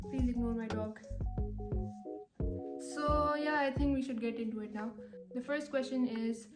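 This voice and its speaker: A woman's voice: fairly high pitch, roomy sound. A young woman from Pakistan in her twenties, chatting casually to camera as a vlogger, with lots of "like" and "um". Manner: chatty, many fillers like "like" and "um".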